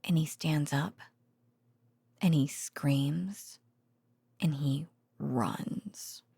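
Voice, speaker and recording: A woman playing a gentle researcher girlfriend in an ASMR bedtime roleplay, murmuring haltingly in a soft, breathy voice that often drops to a whisper. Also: low-pitched, fairly even intonation, no room sound, full character voice. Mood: hushed, eerie. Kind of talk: intimate ASMR audio roleplay, conversational bedtime monologue.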